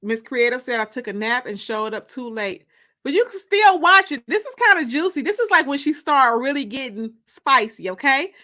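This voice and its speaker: Female story-time host, high-pitched and forceful, casual and gossipy, talking straight to her viewers. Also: very animated, normal speed. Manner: gossipy, informal, repeats phrases for emphasis. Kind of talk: casual livestream chat.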